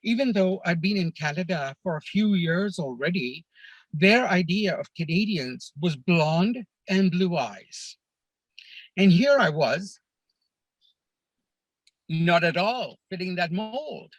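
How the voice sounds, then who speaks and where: A man from Kenya, a podcast guest, telling stories of his life abroad in an earnest, measured way, often pausing on "uh" and "you know". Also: sits high, animated, no echo, poor audio. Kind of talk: reflective storytelling in a conversation.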